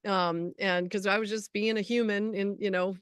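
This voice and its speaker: A woman's voice: mid-range voice, fairly even intonation, normal speed, clear, resonant tone, no room sound, studio quality. A middle-aged woman co-hosting a spiritual talk show, telling stories in a casual, rambling way. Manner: rambling, anecdotal storytelling. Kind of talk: casual conversational chat.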